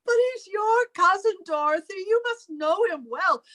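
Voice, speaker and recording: A woman's voice: very high voice, lively intonation, normal speed, bright, forceful tone, full character voice. A woman playing a bitter, controlling older sister in old time radio melodrama, theatrical and imperious in her delivery. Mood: accusing.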